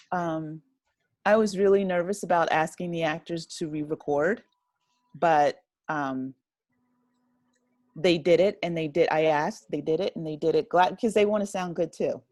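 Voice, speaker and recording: A woman's voice: on the low side, measured pace, a little mellow, no room sound. Woman guest, dry close sound, casual. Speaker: interview guest, audio drama writer and director. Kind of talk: casual conversational interview.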